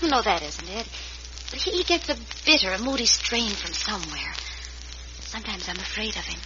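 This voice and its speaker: An actress playing a gentle, anxious young frontier woman, speaking hesitantly in old-time radio melodrama style. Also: medium pitch, expressive, natural voice. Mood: worried. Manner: hesitant, halting. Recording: noisy, muffled vintage radio recording.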